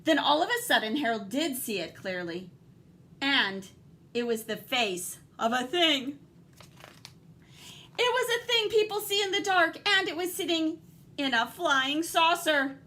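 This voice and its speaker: High-voiced woman reading aloud to children. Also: measured pace. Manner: animated, dramatic emphasis, guiding and questioning. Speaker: teacher reading a picture book aloud. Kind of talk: expressive children's read-aloud with asides to young listeners.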